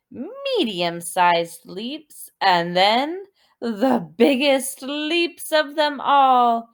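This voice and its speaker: High, slow, expressive woman storyteller. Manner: expressive, deliberate, prompting with questions and repeated words. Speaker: dance teacher reading a movement story. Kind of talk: children's guided storytelling.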